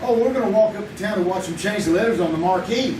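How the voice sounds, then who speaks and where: A man's voice: high voice, quick pace, lightly acted. A man playing a casual, joking teenage boyfriend in a live old-time radio drama. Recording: roomy, distant live stage recording with noise.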